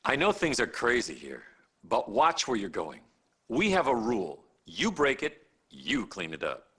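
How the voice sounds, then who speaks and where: An actor playing a stern, condescending senior doctor in a video game cutscene, admonishing the player with dry authority. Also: male voice, medium pitch, huge pitch swings, a little slow, bright, forceful tone, breathy edge, heavily acted. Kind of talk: acted game cutscene dialogue addressed to the player.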